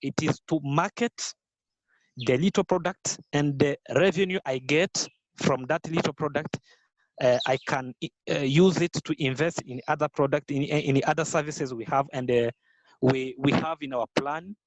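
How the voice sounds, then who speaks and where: A Rwandan man whose first language is Kinyarwanda, answering interview questions in careful, halting English with many fillers and restarts. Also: a little high, no room sound, low-quality recording. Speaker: guest, business founder. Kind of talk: podcast interview.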